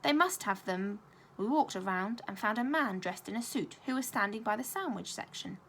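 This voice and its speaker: A woman reading a children's story aloud like a primary school teacher, expressive and clearly paced. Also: mid-range voice, fairly quick, slight background noise.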